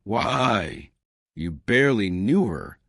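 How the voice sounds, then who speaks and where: A man's voice: fairly low pitch, big pitch swings, takes it slowly, dry recording, studio quality, exaggerated voice. A man voicing a courteous little male detective in an audiobook mystery, formal, precise and authoritative. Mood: probing.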